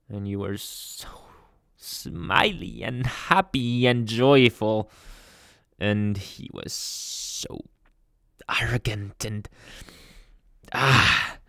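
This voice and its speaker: A man playing a jealous, possessive young boyfriend in an ASMR roleplay, speaking with dramatic pauses and dropping into whispers. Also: mid-range voice, creaky, bright, forceful tone, no room sound, clean studio sound, full character voice. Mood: bitter, sulky.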